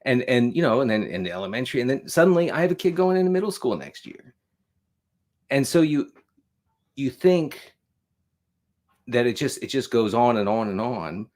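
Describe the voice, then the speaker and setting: A man's voice: mid-range voice, big pitch swings, moderate pace, pauses often, a little mellow. An American man aged forty hosting a casual livestream monologue, rambling and repeating himself with wry, self-deprecating asides. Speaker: host of a live show on fatherhood.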